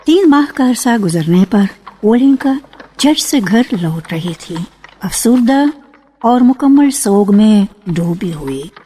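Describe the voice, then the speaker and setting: A woman narrating a literary radio drama in an expressive, deliberate storytelling style, lingering on key words. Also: medium pitch, husky. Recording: archival radio broadcast with faint music. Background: faint music.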